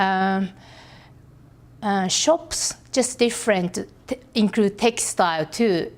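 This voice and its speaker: Slow, halting Japanese-accented woman. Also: medium pitch, a little mellow. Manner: halting, searching for words, many pauses and fillers. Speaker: artist guest. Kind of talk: podcast interview.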